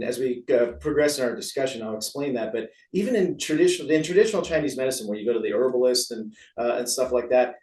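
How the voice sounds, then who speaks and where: Male American interview guest, physician type. Casual, meandering, self-effacing explainer, mid-pitched, recorded at a distance with room echo. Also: darkish tone. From San Francisco, USA. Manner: rambling, chatty, self-deprecating. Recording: roomy echo, distant from mic.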